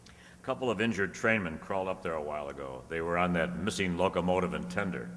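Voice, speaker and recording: A man playing a confident, urgent young newspaper reporter in old-time radio style, brisk and insistent. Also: low voice, fairly bright tone, in a large hall, slight background noise. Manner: brisk, insistent, clipped commands.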